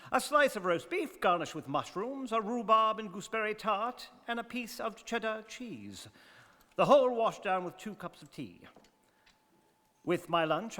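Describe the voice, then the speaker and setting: A man playing an exacting, unflappable English gentleman, speaking precisely and formally in a radio drama. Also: sits high. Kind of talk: stage radio drama acting. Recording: reverberant hall.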